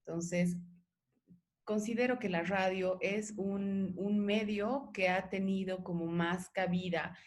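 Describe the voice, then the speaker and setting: A woman's voice: mid-range voice, steady tone, slow, a little hiss or hum. A woman with a Bolivian Spanish accent hosting a reflective interview, speaking in an articulate, discursive way with frequent tag questions. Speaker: interviewer, host of a radio art programme.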